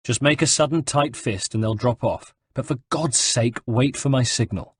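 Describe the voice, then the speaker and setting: A man playing a firm, clear-cut Italian assassin leader. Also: medium pitch, normal speed, lightly acted. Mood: urgent instruction. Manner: firm, casual, terse. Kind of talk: dramatised audiobook narration.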